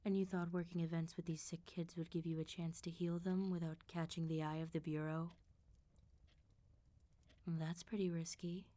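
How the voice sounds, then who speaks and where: A woman voicing a suave, menacing female vampire mob boss in an ASMR roleplay, politely teasing and threatening with unhurried control. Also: fairly low pitch, flat delivery, dark tone, natural voice. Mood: probing, controlled.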